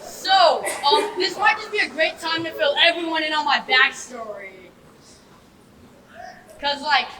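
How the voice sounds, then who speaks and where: A woman's voice: high-pitched, measured pace, long pauses, bright, forceful tone, some chatter behind. A young woman performing a hesitant, rambling comic character in a school stage play. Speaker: junior thespian performer. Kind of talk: school stage comedy acting. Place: echoey stage, recorded from a distance. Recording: echoey, distant, noisy stage recording.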